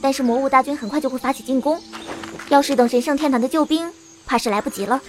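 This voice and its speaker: A woman's voice: high voice, quick pace, soft background music, close to an everyday voice. A woman playing a cute, urgent little girl in an audio drama. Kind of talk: Chinese audio drama acting.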